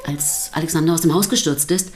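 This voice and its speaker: An actress plays a pastor's wife in a radio drama. Her voice sits a little low, and she speaks in a dry, articulate way, sceptical and dismissive of what she is asked. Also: brisk, darkish tone, lightly acted. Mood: troubled recounting. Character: sceptical, sardonic pastor's wife under questioning. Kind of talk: naturalistic radio drama acting.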